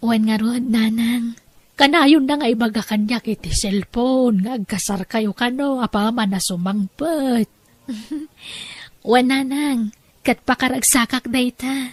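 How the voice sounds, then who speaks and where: Sweet gentle wife in a radio-style drama, slow and soft, close dry sound. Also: female voice, fairly high pitch, no echo. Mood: tender, reassuring. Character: gentle, devoted wife and loving stepmother. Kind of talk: audio drama acting.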